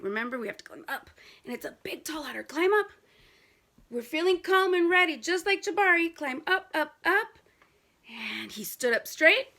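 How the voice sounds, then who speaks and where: A woman's voice: on the high side, bright, forceful tone, slight background noise. A woman reading a picture book aloud to young children, asking them questions and repeating key words for emphasis.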